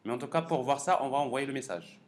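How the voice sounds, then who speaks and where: A man giving a live technical software lecture as a professor, halting and repeating words as he thinks aloud. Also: mid-range voice. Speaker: university professor teaching a class. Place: classroom during a live course session. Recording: clearly noisy classroom recording.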